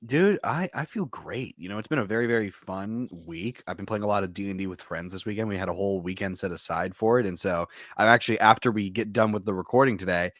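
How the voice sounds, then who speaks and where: Dry-sounding young American man, lowish voice. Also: fast talker, hardly any pauses, fairly bright tone, dry recording. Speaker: podcast co-host. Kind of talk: casual chat.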